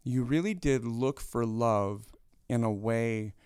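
A chatty middle-aged pastor hosting a casual interview, joking and restarting his sentences often. His voice is smooth and clear at a medium pitch. The recording sounds close and dry. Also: slow, dry recording. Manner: chatty, warm-humored, many restarts. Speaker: pastor and host.

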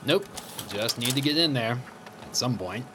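An actor playing a chummy, self-important high school teacher, an American man with a casual, hesitant way of talking, in a naturalistic audio drama. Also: animated, normal speed, background noise, lightly acted. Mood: teasing. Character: chummy, vain male high school teacher, former homecoming king.

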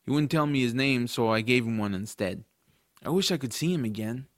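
A man playing a curious, adventurous young boy who narrates his own story in an expressive, earnest audiobook style. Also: medium pitch, brisk, dry recording, clean studio sound, lightly acted. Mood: earnest, wistful. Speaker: narrator and lead character.

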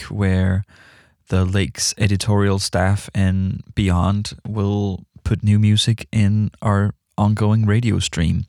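Low, gravelly man hosting radio. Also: a little mellow, no echo, clean studio sound. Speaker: radio host. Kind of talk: casual music radio presenting.

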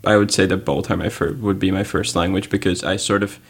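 A man's voice: low voice, level tone, brisk, husky. A young man from Pakistan, a native Urdu speaker, answering interview questions in a thoughtful way, often searching for words. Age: teens. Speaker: student guest.

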